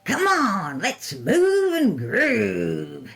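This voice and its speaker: Creepy clownish kids' TV host played by a woman, coaxing storybook villain, swooping delivery over soft music. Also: mid-range voice, wildly swooping pitch, very slow, creaky, voice pushed up, heavily acted. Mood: coaxing, cheerful. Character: clownish TV cartoon host, male, coaxing and eerily cheerful.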